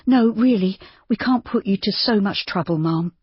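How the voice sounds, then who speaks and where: A reader voicing Judy, a polite, anxious young woman traveller, in a raised pitch. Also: moderate pace, husky, dry recording, highs cut off.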